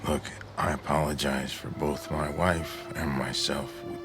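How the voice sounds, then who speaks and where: An actor playing a steady, apologetic small-town sheriff in a radio drama. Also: male voice, a bass voice, unhurried, over music. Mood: apologetic, polite.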